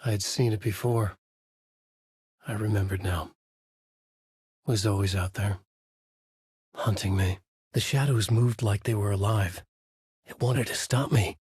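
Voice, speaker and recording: An actor playing a tormented, haunted horror novelist, narrating a video game in grave, brooding noir fragments with a husky voice. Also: male voice, fairly low pitch, steady tone, measured pace, long pauses, darkish tone, no echo, studio quality. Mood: haunted, uneasy. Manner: grave, literary, brooding delivery in short fragments.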